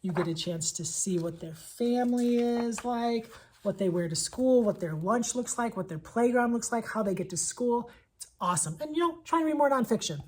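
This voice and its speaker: A woman with a husky voice talking as an elementary school teacher in a casual vlog, enthusiastic and conversational, leaning on repetition for emphasis. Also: on the high side, expressive, normal speed, darkish tone. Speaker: elementary school teacher presenting picture books. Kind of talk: casual vlog book recommendations.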